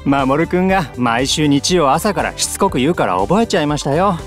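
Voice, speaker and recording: Actor as a lively, polite, cheeky young man. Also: a little high, brisk, soft background music, natural voice. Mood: teasing. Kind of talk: Japanese anime-style voice drama.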